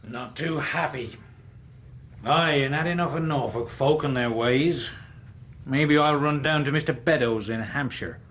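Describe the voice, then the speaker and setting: An actor playing an insolent, menacing old seaman turned butler, speaking in a rough, sneering sailor's manner in a radio drama. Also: mid-range voice, a little slow, a bit bright. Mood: sullen, sneering. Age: older.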